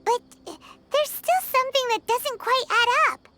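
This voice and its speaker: A woman's voice: very high-pitched, normal speed. A woman voicing a tiny, chirpy fairy-like companion girl who talks about herself by name. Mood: cheerful. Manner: bubbly, refers to herself by name. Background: loud game music.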